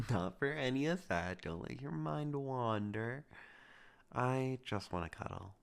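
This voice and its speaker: A man performing a romantic ASMR roleplay to the listener, soft, intimate and teasing with a possessive edge. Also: expressive, slow. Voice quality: low gravelly voice with heavy vocal fry.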